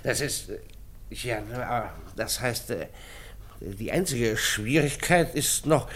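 Flustered small-state minister: rough, breathy mid voice, hesitant stammer, pompous, old German radio drama. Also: male voice, medium pitch, very slow, a bit bright. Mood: eager. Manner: stammering, hesitant, repeating himself. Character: flustered, pompous male minister of a tiny principality. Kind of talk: 1950s German radio play acting.

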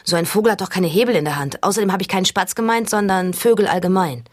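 A woman's voice: medium pitch, fast, dry recording, clean studio sound, lightly acted. A woman playing an earnest, naive, stubborn young interviewee in a satirical radio sketch, talking colloquially. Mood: indignant. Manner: earnest, colloquial, literal-minded.

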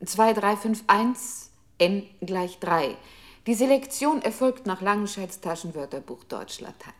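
A woman's voice: medium pitch, animated, unhurried, natural voice. A woman playing a coolly methodical computer control unit in a German radio play, announcing instructions in clipped, impersonal phrases. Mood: coolly procedural. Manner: impersonal, precise, reads numbers and instructions out.

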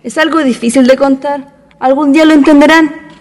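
A woman's voice: high-pitched, fairly even intonation, brisk, darkish tone, long reverb tail, close to an everyday voice. A woman playing a troubled, confused young woman in a radio drama, hesitant and downcast. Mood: troubled, wistful.

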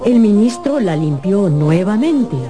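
Woman narrator reading a story for radio, slow and lively intonation, music underneath. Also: medium pitch. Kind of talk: dramatic scripted audiobook narration for radio.

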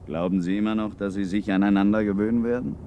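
An actor playing a cynical, scheming middle-aged ship's officer in a 1950s radio drama, sardonic and slyly insinuating. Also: male voice, lowish voice, steady tone, quick pace, highs cut off, lightly acted. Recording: hissy 1950s radio recording.

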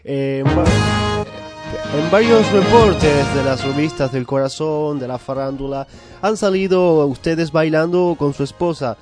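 A man's voice: on the high side, a little slow, loud music behind the voice, natural voice. A man playing a pompous, fussy foreign correspondent in a parody news interview, mock-formal and dropping English words into Spanish.

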